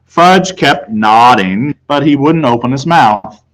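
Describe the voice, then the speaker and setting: A man's voice: medium pitch, animated, slow pace, fairly bright tone, low-quality recording. American man reading a children's story aloud. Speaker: teacher reading aloud to class. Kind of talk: audiobook-style read-aloud of children's novel, first person.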